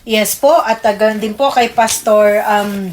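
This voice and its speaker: Polite Filipino woman, halting radio delivery. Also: mid-range voice, a little hiss or hum. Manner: polite, halting while recalling names. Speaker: radio program co-host. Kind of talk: religious radio greetings and prayer.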